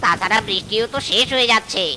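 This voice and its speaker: A woman's voice: mid-range voice, fast, sharp tone, a little hiss or hum, lightly acted. A woman voicing an impatient, complaining younger brother in a cartoon. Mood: impatient, whining.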